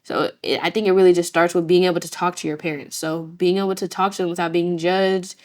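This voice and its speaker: A woman's voice: lowish voice, fairly even intonation, fast. A teenage girl in a youth discussion, talking animatedly and repeating words as she thinks. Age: teens. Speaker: youth participant. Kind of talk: casual discussion.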